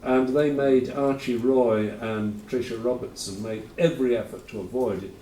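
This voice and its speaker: A man's voice: medium pitch, fairly even intonation, moderate pace, warm tone, a little hiss or hum. Man, conference host, rambling and chatty, dry asides, roomy hall echo, heard from a distance, faint ambience. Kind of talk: informal conference introduction. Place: lecture hall.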